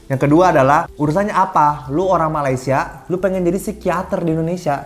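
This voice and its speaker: A woman's voice: low voice, fast, a loud crowd. A woman reviewing a film in casual chat, blunt, swearing often and ranting animatedly.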